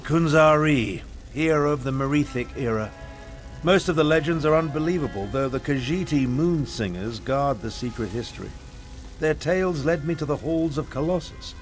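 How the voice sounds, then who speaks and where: An actor voicing a proud, haughty, weary elderly battlemage in a video game, with a pompous, sardonic, measured manner. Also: male voice, on the high side, animated, faint music behind, natural voice. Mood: scholarly. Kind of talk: video game character dialogue.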